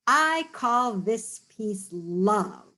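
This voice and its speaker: Storybook girl artist, earnest and eager, pleading gently, slow and measured as a woman reader puts her on. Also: mid-range voice, expressive, exaggerated voice.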